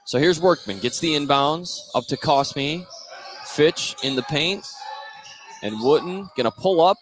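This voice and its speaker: A man doing live play-by-play radio commentary of a high school basketball game. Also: a little slow, faint music behind. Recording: noisy gym ambience behind the voice.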